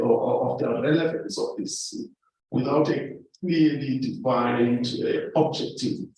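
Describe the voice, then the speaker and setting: A man making an unscripted contribution to a formal discussion, searching for words and repeating phrases in a halting way. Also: mid-range voice, vocal fry, dark tone. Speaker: academic meeting participant. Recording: distant mic in very echoey room.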